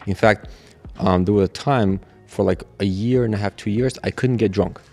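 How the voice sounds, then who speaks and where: A man's voice: fairly low pitch, normal speed, a little mellow, soft background music. A young adult man from Russia, a native Russian speaker, telling candid stories in a casual podcast conversation. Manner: reflective, candid storytelling. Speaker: guest, catering business owner.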